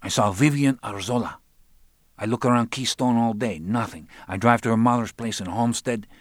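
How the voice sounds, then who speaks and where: A man's voice: mid-range voice, no room sound, studio quality, voice pushed up, close to an everyday voice. A man doing a talkative hustling young guy. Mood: telling. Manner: quick, coaxing, street-style phrasing.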